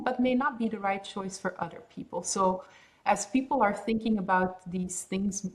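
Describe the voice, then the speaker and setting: A woman with a Belgian accent speaking as a podcast guest, her voice sitting in an ordinary middle pitch. She explains things in a measured, thoughtful way, pausing on fillers as she works through her points. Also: poor audio. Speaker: pharmaceutical research head and guest. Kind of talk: podcast interview.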